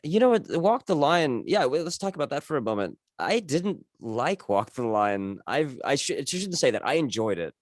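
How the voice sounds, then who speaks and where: A man's voice: medium pitch, very animated, moderate pace, hardly any pauses, dry recording, studio quality. A man in his thirties hosting a casual interview, rambling and correcting himself as he talks. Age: 30s. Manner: rambling, self-correcting, chuckles while talking. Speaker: podcast host.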